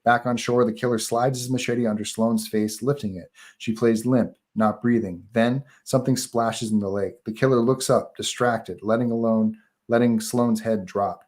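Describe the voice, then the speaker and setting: A man's voice: mid-range voice, steady tone, normal speed, a little mellow. A man reading stage directions as narrator in a live table read of a horror screenplay.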